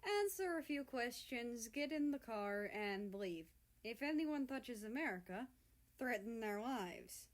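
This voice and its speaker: An affectionate, protective older brother from a storybook, as a woman narrator puts him on. He teases fondly and speaks at an unhurried pace, pitched on the high side. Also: expressive. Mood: grumbling, firm.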